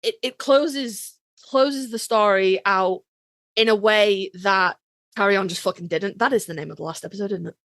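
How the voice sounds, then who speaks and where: A woman co-hosting a podcast, chatting casually as a fan in an animated, emphatic, joking way with plenty of swearing. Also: mid-range voice, moderate pace, no echo. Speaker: podcast co-host. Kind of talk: casual fan chat about a TV series.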